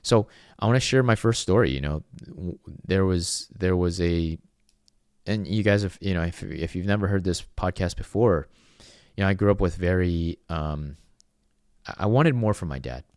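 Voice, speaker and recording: A man's voice: low-pitched, normal speed, a little mellow, no echo, studio quality. A man from Venezuela hosting a podcast alone, telling a personal story in an earnest, reflective and rambling way. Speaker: podcast host. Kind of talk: solo podcast monologue.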